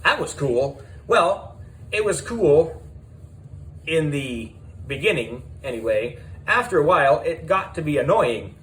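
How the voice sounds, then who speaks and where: Animated, slow-paced man narrating a kids' story. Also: fairly high pitch, low-quality recording. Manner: animated, deliberate, dramatic pauses. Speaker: audiobook narrator. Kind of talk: scripted children's story narration as a schoolboy character.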